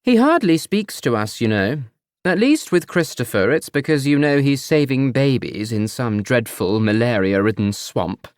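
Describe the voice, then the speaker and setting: A man's voice: mid-range voice, very animated, no echo, studio quality, natural voice. A man putting on a simpering mother. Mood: cloying. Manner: simpering, slow and cloying. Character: genteel fussing mother, older woman.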